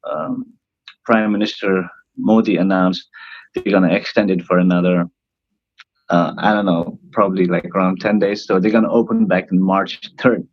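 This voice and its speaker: A man's voice: low voice, no echo, lo-fi sound. A young man from northern India whose first language is Nepali, a cruise ship band singer talking hesitantly with many fillers in a casual interview, his voice tired and worn.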